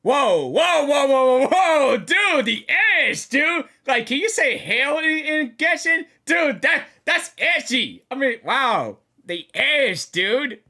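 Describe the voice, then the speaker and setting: A woman giving casual, excited reaction commentary on a game, slangy, exclamatory and repetitive. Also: sits high, slow pace, few pauses. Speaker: gaming reaction host.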